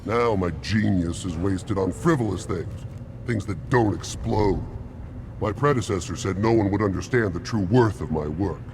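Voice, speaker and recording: Casting: arrogant alien warrior scientist for a game, deep and irritable, noisy rumble behind. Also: male voice, moderate pace. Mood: proud, irritated. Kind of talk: video game character acting.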